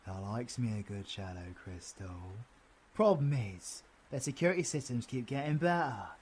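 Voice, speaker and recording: A man's voice: medium pitch, lively intonation, moderate pace, darkish tone, slightly rough. A man with a British accent playing a cocky, crude, wisecracking supervillain in an audio roleplay, full of cheeky, sweary banter. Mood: scheming, confident.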